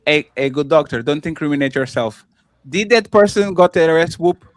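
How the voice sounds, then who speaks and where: Russian-accented host with animated, swooping pitch. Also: moderate pace, dry recording, clean studio sound. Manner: teasing, interjecting, searches for words. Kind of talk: casual group chat.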